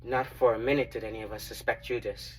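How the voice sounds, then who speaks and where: A young man playing a reverent, earnest disciple who recounts his memories in a halting, reflective dramatic monologue. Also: mid-range voice, steady tone, recorded from a distance, slight background noise, lightly acted, low-quality recording. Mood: grave, sorrowful. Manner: halting, reflective, with long pauses.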